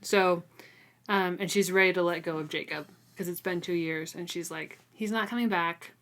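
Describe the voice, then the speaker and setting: A woman's voice: fairly low pitch, steady tone, normal speed, fairly bright tone. A woman co-hosting a book podcast, chatting casually and informally with playful asides as she recaps a story. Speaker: co-host of a book read-along podcast. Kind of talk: casual chat, recapping a novel.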